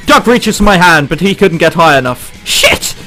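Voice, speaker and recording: A man with a high, bright voice does let's play commentary on a visual novel. He swings widely in pitch, incredulous and sweary between passages read aloud, with music behind him. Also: animated, moderate pace. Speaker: gamer reading and reacting to a visual novel. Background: game background music.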